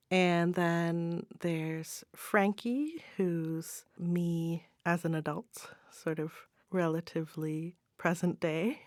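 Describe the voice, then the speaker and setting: A woman chatting as an interview guest, thoughtful and tentative, often trailing off softly. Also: fairly low pitch, dry recording, clean studio sound. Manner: thoughtful, tentative, hedging with soft trail-offs. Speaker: guest playwright and spoken word poet. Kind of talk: casual interview chat. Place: studio, in person.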